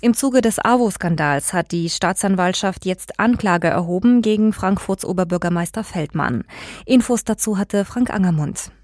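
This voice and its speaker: Female news anchor, lively. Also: medium pitch. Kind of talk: scripted news presenting.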